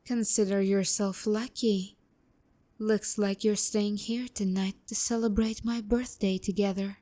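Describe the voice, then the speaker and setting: Casting: actress as taunting female demon for romantic ASMR roleplay, unhurried and dark-toned, sharp tongue, breathy whispered asides. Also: medium pitch, level tone, close to an everyday voice. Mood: seductive, menacing. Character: haughty, teasing, flustered female demon, bitter romantic rival. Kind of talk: ASMR roleplay, intimate character acting.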